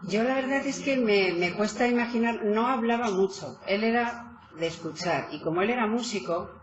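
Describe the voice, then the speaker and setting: A woman's voice: mid-range voice, a little hiss or hum. Woman author at a book talk, lots of fillers and restarts, recorded in a reverberant hall on muffled audio. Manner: animated, hesitant with many fillers and restarts. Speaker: author presenting her book. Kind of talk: conversational book presentation talk. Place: meeting hall. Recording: echoey hall, dull lo-fi sound.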